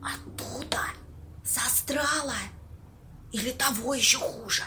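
A woman acting a bossy, streetwise young woman in an amateur audio play, husky, slangy and blunt. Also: high-pitched, bright, forceful tone, slight background noise. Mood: hushed, nervous. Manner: slangy, blunt, drawn-out exclamations.

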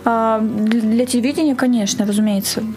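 A woman with a fairly high voice answers as an event organiser in a casual radio interview. She is talkative and often repeats and rephrases a point to stress it. Light music is present with her voice. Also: fairly even intonation, brisk, darkish tone.

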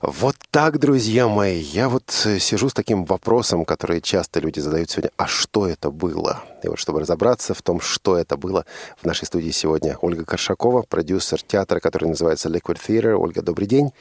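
A man hosting a conversational radio interview, halting and emphatic, repeating his phrases. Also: low voice, no echo, clean studio sound. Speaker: radio host.

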